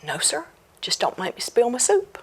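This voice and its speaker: A woman storyteller voicing Jack, a calm, fearless young man who answers politely. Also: on the high side, moderate pace, breathy edge, pitched above the performer's own voice, full character voice. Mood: unbothered. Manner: polite, unbothered.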